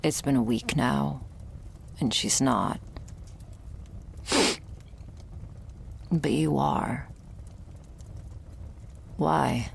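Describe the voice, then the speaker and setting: A woman playing a guarded, sardonic young vampire's servant in an intimate ASMR roleplay, speaking softly and dryly, often near a whisper. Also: very slow, vocal fry, husky, heavily acted. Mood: wry, probing. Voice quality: low, soft voice often dropping into whisper. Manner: dry, hesitant, foul-mouthed, sighing delivery. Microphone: close ASMR microphone.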